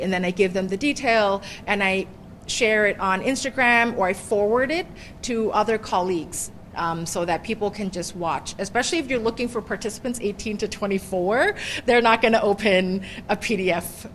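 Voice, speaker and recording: A woman's voice: mid-range voice, a bit bright, a little hiss or hum. A middle-aged woman professor giving a conversational workshop presentation, often checking in with "right?". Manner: chatty, often ends points with "right?". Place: workshop room.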